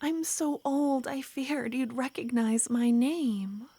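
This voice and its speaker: A woman voicing an ancient, lovesick female vampire who pleads softly and slips into whispers, in an ASMR roleplay. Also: sits high, slow, slightly rough, no echo, heavily acted. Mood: pleading. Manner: soft, pleading, drifting into whispers.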